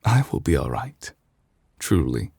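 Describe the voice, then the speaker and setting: A man voicing a solemn, gentle, ancient immortal chief justice in an ASMR roleplay, speaking formally and haltingly in a confiding, gravelly, breathy voice. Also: rumbling low, very animated, dry recording, studio quality, full character voice. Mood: grateful, gentle.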